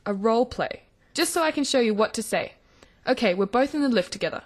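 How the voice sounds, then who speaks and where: A woman playing a caring, teasing teenage older sister in a radio drama, gently probing and reassuring. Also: on the high side, expressive, fairly quick. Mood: bossy, helpful. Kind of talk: radio drama acting for English learners. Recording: clear background noise of sizzling cooking. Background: frying and stirring kitchen sounds.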